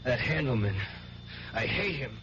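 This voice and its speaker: A man's voice: medium pitch, lively intonation, unhurried, lightly acted. An actor playing an earnest, devout young man who believes in his divine powers, in a 1970s radio drama. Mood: solemn, brooding. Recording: noisy, muffled 1970s radio broadcast recording.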